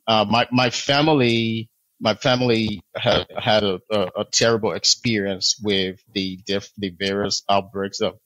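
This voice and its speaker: A man from Liberia, in his thirties, telling his life story as a podcast guest in a measured way, with many pauses and restarts. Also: fairly low pitch, a bit bright, no room sound, rough recording. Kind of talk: interview storytelling.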